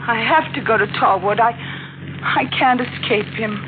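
An actress playing a frightened widow in a 1940s radio play, stammering and pleading with fear. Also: female voice, on the high side, moderate pace, noisy background, natural voice. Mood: fearful. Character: haunted, terrified young widow, certain of doom. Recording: tinny old radio broadcast with music.

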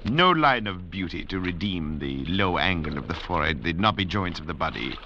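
An actor plays a haughty, calculating middle-aged doctor leading escaped convicts, speaking precisely and with command in old radio drama style. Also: male voice, deep voice, lively intonation, normal speed. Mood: cool disdain. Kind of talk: 1950s radio drama acting. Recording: dull, noisy vintage radio recording.

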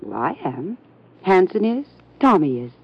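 An actress playing a dry, sardonic young niece in an old radio drama, on a muffled old recording. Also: female voice, on the low side, very animated, a little slow, breathy edge, full character voice.

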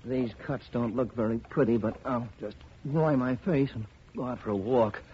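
A man's voice: medium pitch, moderate pace, natural voice. An actor playing a meek, polite, hesitant repairman in a 1950s radio drama. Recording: muffled, noisy old radio recording.

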